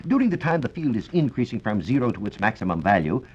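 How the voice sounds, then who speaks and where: A man's voice: mid-range voice, sing-song, fast talker, darkish tone, breathy edge. An American man giving a formal, scripted explanation as a science reporter on 1940s radio. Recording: dull, lo-fi 1940s radio recording.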